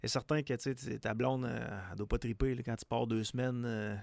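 A man's voice: medium pitch, fairly quick, vocal fry, dry recording. A man in his forties with a Quebec French accent, co-hosting a radio show with casual, self-mocking banter. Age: 40s. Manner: joking, self-mocking, lively. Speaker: radio co-host.

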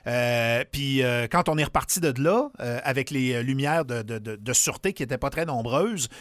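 A man's voice: mid-range voice, moderate pace, fairly bright tone, no room sound, clean studio sound. A middle-aged man with a Quebec French accent, a radio host chatting casually and telling stories with animation.

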